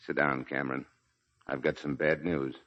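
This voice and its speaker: A man's voice: very deep voice, flat delivery, normal speed, very noisy. A man acting as a stoic, fatherly military commander in 1940s radio drama, grave, terse and commanding. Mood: somber. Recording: muffled 1940s radio broadcast recording.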